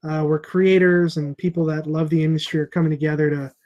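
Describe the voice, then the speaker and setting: A man's voice: a little high, little pitch movement, brisk, darkish tone, lo-fi sound. A man in his thirties who owns a comic shop, hosting a casual chat over a video call from a room at home with an earphone mic. He rambles a little, with lots of fillers and restarts. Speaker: comic shop owner and host. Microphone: earphone headset on a video call.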